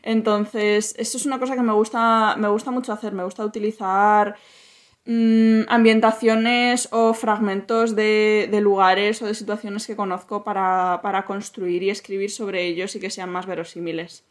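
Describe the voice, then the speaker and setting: A woman's voice: medium pitch, flat delivery. Thoughtful woman novelist from Madrid. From Spain, Madrid. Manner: thoughtful, many pauses and restarts. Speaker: novelist guest. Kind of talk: podcast interview.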